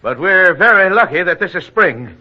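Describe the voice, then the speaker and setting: High-voiced, earnest town leader. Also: male voice, lively intonation. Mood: earnest, resolute. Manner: deliberate, orderly, reasoning. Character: earnest, steady small-town leader chairing an emergency meeting. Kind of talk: 1940s radio drama acting. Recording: muffled old radio recording.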